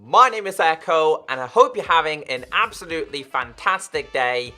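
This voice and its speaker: A man's voice: on the high side, expressive, moderate pace, sharp tone, soft background music. A man in his twenties, a gaming YouTuber talking earnestly and directly to his viewers. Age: 20s. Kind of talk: direct-to-camera commentary.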